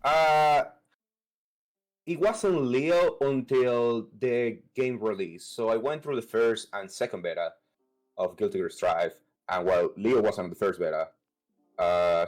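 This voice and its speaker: A young man from Venezuela with a Venezuelan Spanish accent, answering interview questions about his gaming in a halting way, with many fillers and restarts. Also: on the low side, darkish tone, faint music behind, rough recording. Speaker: competitive fighting game player.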